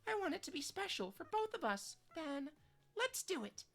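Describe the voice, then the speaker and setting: A woman voicing a sweet, eager young pop idol, soft and bubbly. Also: very high voice, big pitch swings, normal speed, creaky, exaggerated voice.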